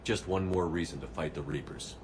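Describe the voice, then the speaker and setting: A man's voice: low voice, brisk, noisy background, close to an everyday voice. An actor playing a hardened male human commander, giving clipped, matter-of-fact orders in a game cutscene. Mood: steady. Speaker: military commander. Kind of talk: video game cutscene acting.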